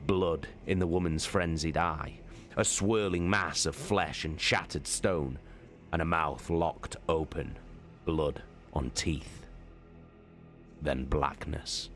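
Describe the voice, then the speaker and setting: Low voiced guy doing a dramatic story reading, slow and theatrical, bit of music under it. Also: steady tone, fairly bright tone. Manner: measured, theatrical. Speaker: narrator, live reader. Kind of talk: dramatic audiobook narration.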